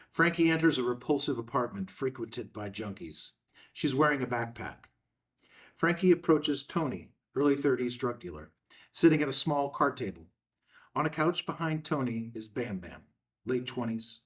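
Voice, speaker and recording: A man's voice: mid-range voice, expressive, moderate pace, recorded from a distance. A man narrating scene headings and stage directions from a screenplay in a scripted read.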